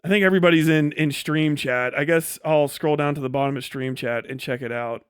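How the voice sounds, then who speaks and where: A man's voice: on the high side, a little fast, clear, resonant tone, dry recording, studio quality. A man talking casually as a livestream host, blunt and sarcastic, with frequent swearing.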